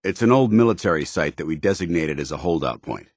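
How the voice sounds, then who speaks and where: Casting: gruff, honorable military commander for a game, rasping voice, formal and earnest, very dry close recording. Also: male voice, low-pitched, expressive, quick pace, darkish tone, no echo. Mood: solemn, dutiful. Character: stern, duty-bound military paladin, gruff and honorable adult man. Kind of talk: video game companion dialogue.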